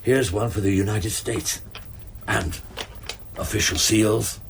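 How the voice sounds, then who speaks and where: An actor playing a shrewd, drily courteous police chief inspector in a naturalistic radio drama, with measured, understated delivery. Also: male voice, on the low side, fairly even intonation, creaky, background noise. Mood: measured explaining. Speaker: actor in a detective radio play.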